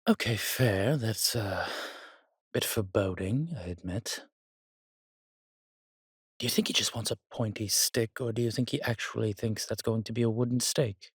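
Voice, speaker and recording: A man's voice: on the low side, normal speed, fairly bright tone, slightly rough, no echo, clean studio sound. A man playing an arrogant, menacing vampire warrior in an audio roleplay, haughty and theatrical, with suave affection for the listener. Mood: amused disdain. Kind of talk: dramatic roleplay monologue, addressing a listener.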